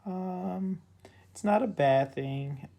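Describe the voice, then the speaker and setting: A young adult vlogger with a raspy, cracking voice, casually walking through an app in a rambling way, full of ums and likes. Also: medium pitch, very slow, noisy background. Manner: rambling, filler-heavy, with many ums and likes. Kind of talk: casual screen walkthrough.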